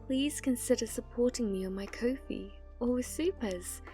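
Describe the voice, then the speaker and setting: A British woman, an ASMR creator, giving a gentle, soft-spoken channel outro that at times slips into a whisper. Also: on the high side, moderate pace, faint music behind.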